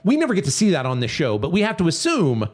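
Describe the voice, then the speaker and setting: Man with very animated, swooping delivery. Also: a little high, fast, faint music behind. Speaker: podcast host. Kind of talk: casual analytical discussion of a cartoon series.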